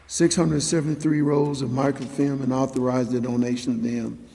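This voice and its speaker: Guy on a council reading motions, soft-edged tone, reverby meeting room. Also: medium pitch, little pitch movement, moderate pace, creaky. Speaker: council member. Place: council chambers.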